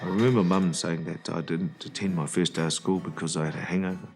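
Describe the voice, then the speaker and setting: A man's voice: low-pitched, fairly even intonation, quick pace. A man giving a candid, reflective personal account in a documentary interview. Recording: music playing clearly behind the voice. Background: music.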